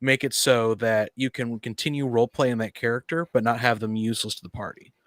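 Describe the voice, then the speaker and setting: A man's voice: medium pitch, fairly even intonation, a little fast, a bit bright, no room sound. A man in a casual panel discussion about tabletop gaming, speaking in an opinionated, blunt way. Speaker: podcast panelist.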